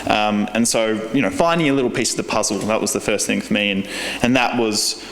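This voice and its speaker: A young Australian man speaks with a lowish voice and an earnest, halting delivery full of fillers and restarts. He is giving a keynote in a big convention hall, and the sound rings out with a long, roomy echo. A faint hum of room noise sits underneath. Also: creaky, a bit bright. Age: young adult. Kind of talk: conference keynote speech.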